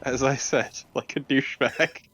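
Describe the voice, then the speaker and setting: A young man with a mid-pitched voice banters casually as a co-commentator on a game. He is wry and opinionated, and swears freely. Music plays faintly behind him. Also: fairly even intonation, moderate pace, a bit bright.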